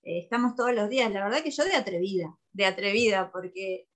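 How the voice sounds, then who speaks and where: A woman's voice: medium pitch, a little fast. A woman with a Uruguayan accent, a radio co-host and comedian, chatting casually as the guest in a radio interview.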